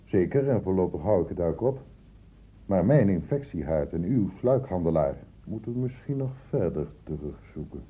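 Casting: earnest, probing doctor for radio drama; husky, lowish voice with lively intonation. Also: male voice, moderate pace. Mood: measured, reasoning. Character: earnest, insistent male doctor investigating an infection outbreak. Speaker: actor in a radio play. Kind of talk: Dutch radio drama acting. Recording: muffled phone-like old radio recording.

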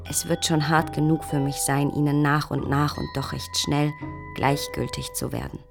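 An actress playing a sorrowful but resolute young woman leaving her lover, reading out her letter in a measured, formal way in a radio drama. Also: deep voice, flat delivery. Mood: sad resolve. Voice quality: creaky. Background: instrumental music.

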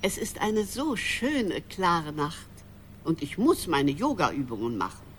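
A woman's voice: on the low side, big pitch swings, slow, heavy background noise. An actress playing a genteel, scatty elderly mother in a radio drama, gushing and theatrical. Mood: sweetly effusive. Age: older. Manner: gushing, calls everyone darling. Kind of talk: German radio drama dialogue.

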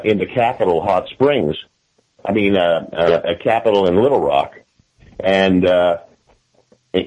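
American guy with a deep-ish voice, political interview guest, stops and restarts a lot. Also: darkish tone, poor audio. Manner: hesitant, halting with repeated words. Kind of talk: interview answers, political commentary.

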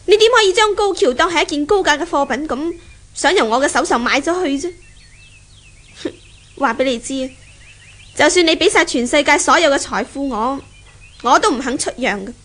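A woman's voice: sits high, lively intonation, a little fast, pauses often, a bit bright. An actress playing a proud, unyielding young woman in a Hong Kong Cantonese radio drama, speaking in an earnest, indignant way.

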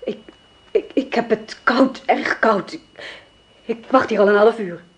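A woman's voice: a little high, measured pace, lightly acted. An actress playing a young farm woman receiving her former lover at night, cold, wary and reproachful. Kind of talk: radio play acting.

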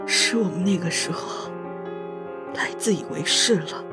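A woman playing a hesitant, regretful middle-aged mother in an audio drama, gentle and halting. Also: lowish voice, over loud music, lightly acted. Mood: quietly regretful.

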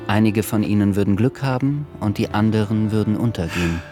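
An actor playing a sober, grave narrator of a historical radio drama, telling it in a measured way. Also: male voice, lowish voice, over music, natural voice. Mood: grave, foreboding.

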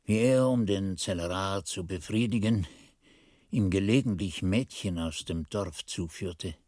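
An actor playing an earnest, reflective monk who tells his story in expressive, deliberate literary narration for a radio drama. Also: medium pitch, no echo, studio quality.

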